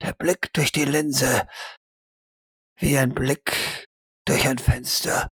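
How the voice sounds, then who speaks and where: A man's voice: medium pitch, steady tone, slow pace, a bit bright, husky, no room sound, studio quality, full character voice. A man acting an intense, commanding shooting instructor. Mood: hushed, hypnotic. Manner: terse clipped commands, drawn out for emphasis. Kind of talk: audio drama acting.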